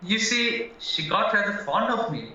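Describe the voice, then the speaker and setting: A man's voice: sits high, lively intonation, a little slow, sharp tone, full character voice. A man acting a frank, flustered young married murder suspect in an audio play, hesitant and earnest as he protests. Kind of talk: stage-style courtroom audio drama acting. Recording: echoey, distant, dull, music behind.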